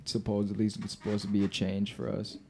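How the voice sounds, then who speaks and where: A young man talking casually as a podcast guest in a hesitant, halting way. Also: on the low side, completely flat delivery, creaky, a little mellow, a little hiss or hum. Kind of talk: casual conversation.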